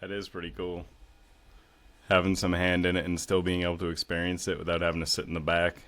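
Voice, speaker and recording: A man hosting a podcast, with a low voice, chatting in a laid-back, joking way and swearing casually. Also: monotone, fast, fairly bright tone. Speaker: podcast host.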